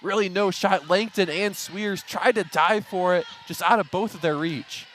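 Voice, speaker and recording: A man's voice: sits high, normal speed, fairly bright tone, slight background noise. A man giving color commentary on live sports, with the venue audible behind him.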